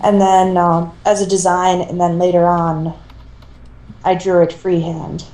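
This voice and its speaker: Woman, casual chat, soft dark timbre, lowish pitch, roomy echo. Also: flat delivery, a little slow. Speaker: fan fiction reader.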